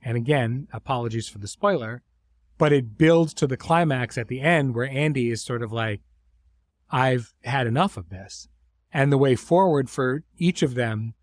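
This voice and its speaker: A man hosting a conversational interview, speaking thoughtfully in phrases broken by pauses and restarts. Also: mid-range voice, dry recording. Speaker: host, series creator.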